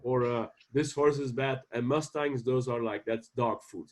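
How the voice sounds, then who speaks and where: A man with a Belgian accent speaks in an interview at a middle pitch. His talk is earnest and halting, full of fillers and restarts as he explains. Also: fairly even intonation. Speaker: guest ranch owner. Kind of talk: casual interview.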